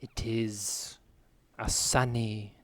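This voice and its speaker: A man playing a solemn hypnotist in an improvised comedy podcast, guiding a trance with hushed, portentous delivery and long dramatic pauses. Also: lowish voice, flat delivery. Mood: solemn, dreamy. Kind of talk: slow guided meditation, improvised comedy.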